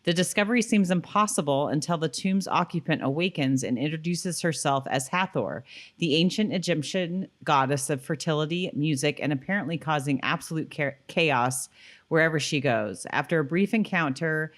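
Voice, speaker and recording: A woman's voice: sits low, moderate pace. A woman co-hosting a podcast, chatting casually in an informal, agreeable way. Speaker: podcast co-host. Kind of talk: casual rewatch podcast chat.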